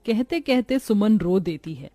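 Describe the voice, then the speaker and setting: A woman's voice: mid-range voice, lively intonation, a little slow, warm tone, no room sound, faint music behind. A woman narrating a scripted moral story as a storyteller.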